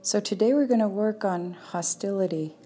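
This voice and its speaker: A woman leading a guided meditation as a teacher, speaking calmly and without hurry, leaving long pauses. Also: medium pitch, slight background noise. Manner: calm, unhurried, with long pauses.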